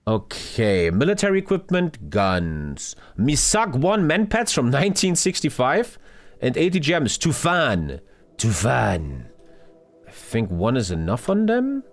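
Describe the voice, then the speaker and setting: A man's voice: mid-range voice, wildly swooping pitch, a little slow. A young man streaming a strategy game live, ranting and swearing at his chat. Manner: loud, swearing, jumpy rants to chat. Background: game music.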